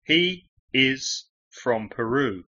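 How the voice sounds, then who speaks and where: A man from England with a London accent, teaching English with slow, deliberate phrases for learners to repeat. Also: mid-range voice, expressive, bright, forceful tone. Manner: clear, deliberate model phrasing for repetition. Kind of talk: slow language-lesson drills. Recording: dull lo-fi audio.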